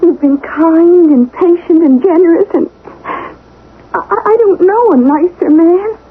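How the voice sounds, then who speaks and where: An actress playing an anxious, devoted office secretary in a 1970s radio drama, speaking hesitantly and flustered. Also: female voice, very high voice, a little slow. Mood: tearful, sympathetic. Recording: tinny band-limited radio recording, faint noise.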